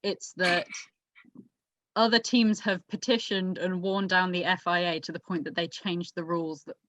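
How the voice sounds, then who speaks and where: A woman's voice: on the low side, fairly even intonation, moderate pace, fairly bright tone, no echo. A woman on a podcast panel discussing sport with opinions, readily correcting and rephrasing points in an argumentative way. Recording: slightly muffled lo-fi, dry.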